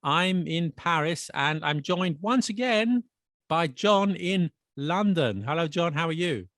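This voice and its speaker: A man hosting a casual language podcast, chatty and quick with asides and restarts. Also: on the high side, lively intonation, fairly bright tone, dry recording, clean studio sound. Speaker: podcast host and English teacher. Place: Paris.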